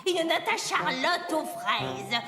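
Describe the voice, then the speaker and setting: Greedy old cartoon witch, very high voice, wildly swooping, theatrical cackle, loud music behind. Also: big pitch swings, takes it slowly, exaggerated voice. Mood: greedy, gleeful. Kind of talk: cartoon voice acting.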